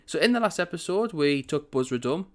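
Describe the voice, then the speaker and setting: A man hosting a strategy game commentary, chatty and rambling, with a croaky morning voice. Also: a little high, expressive, fairly quick. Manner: chatty, rambling, self-correcting. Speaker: gaming channel host.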